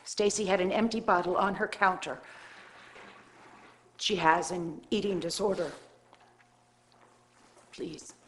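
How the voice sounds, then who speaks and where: A woman's voice: on the low side, takes it slowly, pauses often, a little hiss or hum. A woman playing an anxious, defensive boarding school headmistress in a video game. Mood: worried. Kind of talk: FMV game acting.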